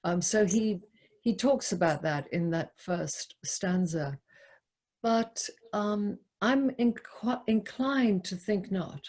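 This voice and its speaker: A woman giving a poetry talk to a group, speaking in a halting, reflective way with repeated words and a husky voice. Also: medium pitch, darkish tone. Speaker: poetry lecturer leading a discussion.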